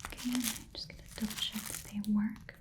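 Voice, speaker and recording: A woman's voice: fairly high pitch, flat delivery, quick pace, lightly acted. Whispering, muttering goblin shopkeeper, played by a woman. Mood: fussy, absorbed. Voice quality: soft, breathy whisper. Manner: muttering, repeats words to herself, fussy. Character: chatty, haggling goblin pawn shop keeper. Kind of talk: whispered ASMR shopkeeper roleplay.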